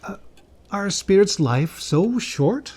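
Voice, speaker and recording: A man's voice: high-pitched, expressive, takes it slowly, a little mellow, pitched above the performer's own voice, full character voice. A man voicing a humbled, anxious old man in a dramatic storybook reading, hesitant and pleading. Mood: timid, uneasy.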